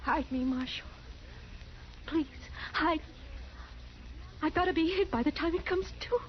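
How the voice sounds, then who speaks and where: An actress playing a frightened frontier wife in a radio western, pleading in an urgent, breathless way with rural phrasing. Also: female voice, sits high, unhurried. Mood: desperate, pleading. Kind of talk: 1950s radio western acting. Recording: muffled, faintly hissy old radio recording.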